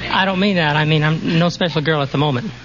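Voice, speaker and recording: A man's voice: fairly high pitch, fast, over a noisy crowd. An American man in his twenties, politely and earnestly answering questions as a radio quiz contestant. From United States. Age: 20s. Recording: muffled, very noisy 1940s radio recording.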